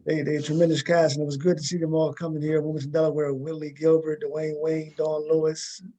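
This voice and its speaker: A man with a voice a little higher than average hosts a talk show. He interviews casually and conversationally, doubling back on words and phrases as he goes. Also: flat delivery, a little fast, mellow, poor audio.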